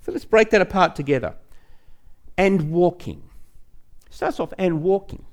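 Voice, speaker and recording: A man's voice: high voice, animated, a little slow. A man preaching a teaching sermon in a conversational way, repeating phrases for emphasis and putting rhetorical questions to the listener.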